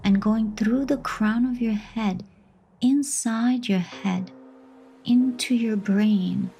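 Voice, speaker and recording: A woman leading a guided meditation in a gentle, unhurried manner, pausing between short soothing phrases of instruction. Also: medium pitch, slight background noise. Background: background music.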